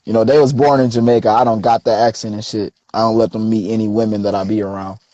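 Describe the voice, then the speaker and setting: A young man, a rapper being interviewed, telling stories in a relaxed, slangy way. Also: fairly low pitch, fast, no echo, muffled recording. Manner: laid-back, slangy storytelling. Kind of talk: casual interview chat.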